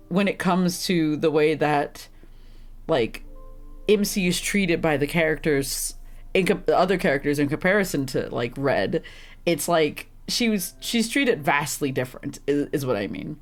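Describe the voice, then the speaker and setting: A woman with a deep, rough, slightly hoarse and congested voice, rambling through casual gameplay commentary and laughing at herself often. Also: animated, a little slow, soft background music. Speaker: streamer playing a visual novel.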